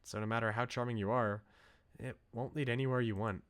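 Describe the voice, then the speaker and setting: A man's voice: medium pitch, steady tone, a little fast, clear, resonant tone, close to an everyday voice. A man voicing an earnest, devoted young woman from a visual novel, reading her lines with emphasis. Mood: concerned, insistent. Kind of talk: reading visual novel dialogue aloud in character. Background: game music.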